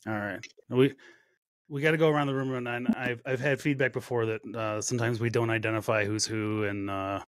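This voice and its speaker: A man's voice: mid-range voice, fairly even intonation, a little fast, no echo, clean studio sound. A man hosting a podcast in a casual, joking way, with wry teasing and mild swearing. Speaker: podcast host. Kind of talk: casual joking group chat.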